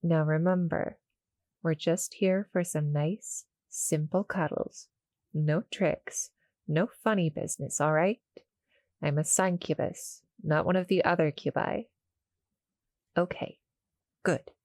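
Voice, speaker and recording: A woman voices a shy, gentle monster girl in an intimate audio roleplay. Her voice is low for a woman and recorded very dry and close. She talks softly and hesitantly, polite and apologetic toward the listener. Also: steady tone, slow, pauses often, no echo. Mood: gentle, reassuring. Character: shy, gentle female cuddle-monster succubus.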